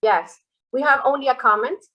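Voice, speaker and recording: Female webinar moderator, slightly high voice, relaying audience comments over a video call. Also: normal speed, dull audio. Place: indoors, online video call.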